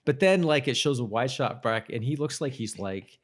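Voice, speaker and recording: A man co-hosting a podcast in casual chat, talking haltingly and often rephrasing as he goes. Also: medium pitch, animated. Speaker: podcast co-host. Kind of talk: casual chat recapping a TV episode.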